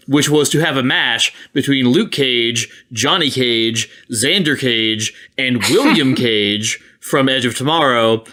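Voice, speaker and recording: A man's voice: mid-range voice, sharp tone. Casual male podcast co-host, swearing freely. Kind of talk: casual group chat.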